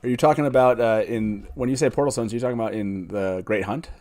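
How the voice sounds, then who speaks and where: A man with an average-pitched voice hosts a casual online fan discussion. He talks informally, feeling his way through sentences and often rephrasing as he goes. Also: fast, darkish tone. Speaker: host of a fan live stream. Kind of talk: casual chat.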